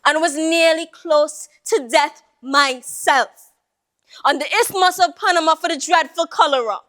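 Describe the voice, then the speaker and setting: Stage monologue casting: actress to play a weary, proud old Jamaican Creole woman, strikingly high voice, drawn-out lamenting delivery. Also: a bit bright. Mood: indignant. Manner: slow, lamenting, rhetorical, with sighing exclamations. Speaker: monologue performer. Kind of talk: theatrical dramatic monologue.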